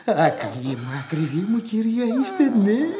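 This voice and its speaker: An actor playing a fussy old widowed manservant in radio theatre, exclaiming and coaxing in a high, thin voice. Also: male voice, sing-song, crowd noise. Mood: coaxing, exasperated. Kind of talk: Greek radio theatre acting.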